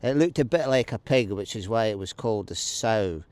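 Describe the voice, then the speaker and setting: A man's voice: fairly low pitch, expressive, normal speed, a little mellow, no room sound. A man telling a story for children, stopping to ask and answer questions. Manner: explains as he tells, asks and answers questions.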